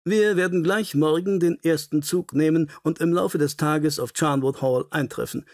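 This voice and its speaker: A man voicing a keen, courteous, self-assured Victorian detective in an audiobook, formal, brisk and inquisitive. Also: fairly high pitch, a little mellow, no echo, clean studio sound, natural voice. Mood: decisive.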